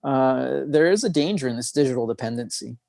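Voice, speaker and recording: A man, a memory expert, explaining his ideas in a podcast interview in an earnest, clear way. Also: medium pitch, lively intonation, a little fast, darkish tone, no room sound, clean studio sound.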